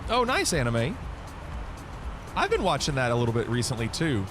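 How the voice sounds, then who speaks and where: A man's voice: medium pitch, wildly swooping pitch, normal speed, music in the background. Chatty man streaming a flight sim. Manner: chatty, reads and answers viewer chat between radio calls. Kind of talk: casual live-stream chat.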